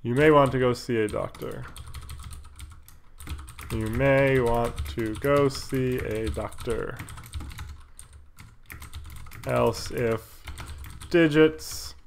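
A man's voice: medium pitch, steady tone, slow pace, creaky, pauses often. A young man narrating live coding casually, muttering absent-mindedly to himself as he types. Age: young adult. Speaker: programmer livestreamer. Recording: noisy room with keyboard clicks. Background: typing, clicks, faint noise.

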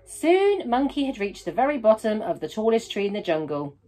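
Female storybook reader: expressive, highly animated, slightly high voice, emphatic on key words. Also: brisk, soft background music. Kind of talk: children's picture book read-aloud.

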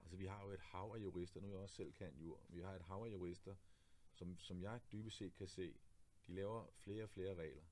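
A man's voice: sits low, steady tone, darkish tone. A man in his forties talking politics in a casual conversation, earnest and explanatory, often restarting his sentences and adding tag questions. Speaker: candidate and host. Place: clothing shop, indoors.

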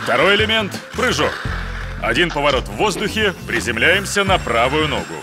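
An actor playing a calm, patient adult lion coach in a children's cartoon, speaking in a measured, instructive way. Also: male voice, fairly high pitch, music in the background. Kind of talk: animated children's series acting.

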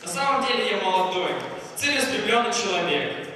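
A man's voice: high voice, animated, brisk, pushed and bright. A young man giving a rehearsed self-introduction as a contestant on a theatre stage. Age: teens to young adult. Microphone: handheld microphone through hall speakers. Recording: very echoey hall, distant, clearly noisy.

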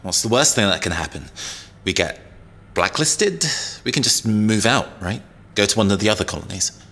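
A man's voice: fairly low pitch, expressive, normal speed, fairly bright tone. An actor playing an earnest, anxious young male rookie in a science-fiction audio drama, with a hesitant, worried delivery.

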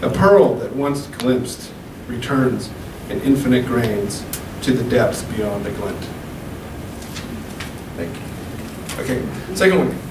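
A man in his thirties reading poems at an open mic into a handheld mic, slow and deliberate, with casual asides between poems. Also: medium pitch, expressive. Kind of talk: poetry reading. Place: small room at a podium. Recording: echoey room, camera from far off, hum.

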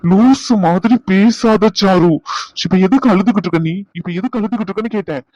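A man's voice: sits high, brisk, darkish tone, no echo, close to an everyday voice. A middle-aged man acting as an uncle who scolds and coaxes his stubborn niece. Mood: coaxing. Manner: scolding, then coaxing. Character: stern but caring middle-aged uncle. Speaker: uncle of the young woman. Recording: dull lo-fi recording.

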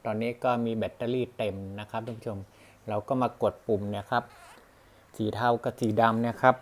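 A man's voice: on the low side, little pitch movement, fairly quick. A man giving a casual, unscripted product demonstration as a vlogger, rambling and self-deprecating as he often addresses his viewers. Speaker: vlogger demonstrating motorcycle gear. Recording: clear ambient background noise.

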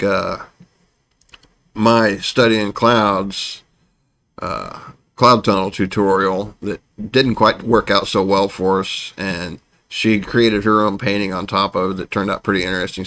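A man in his forties, host of a home art channel, talks casually about upcoming painting projects, rambling with many restarts and fillers, filmed seated in a small dark home studio. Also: on the low side. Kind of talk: casual sit-down channel update.

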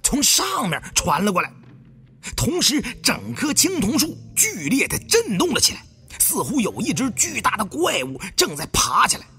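Highly animated male audiobook storyteller. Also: normal speed, soft background music. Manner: expressive, theatrical storyteller phrasing. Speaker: audiobook narrator. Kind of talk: dramatic first-person audiobook storytelling.

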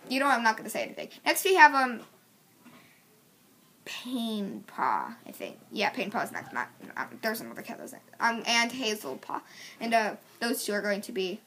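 A woman's voice: fairly high pitch, sharp tone, slight background noise. A woman chatting casually as she introduces her amateur voice audition lines. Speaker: amateur voice actor.

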